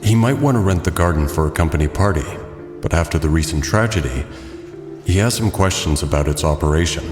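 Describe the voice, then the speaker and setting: A man's voice: low-pitched, animated, fairly quick, roomy sound, big-room sound, over music. A man playing a terse, commanding and brooding masked vigilante in an audio drama. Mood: polite, subdued. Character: brooding, intimidating male masked vigilante with secret gentleman side.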